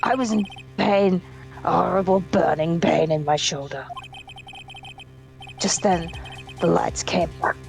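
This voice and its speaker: A woman's voice: fairly low pitch, vocal fry, a little mellow, soft background music. A woman voicing an arrogant, vengeful male prosecutor villain in a theatrical, menacing fan dub of a courtroom game. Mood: brooding, gloating. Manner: theatrical, menacing, deliberate delivery.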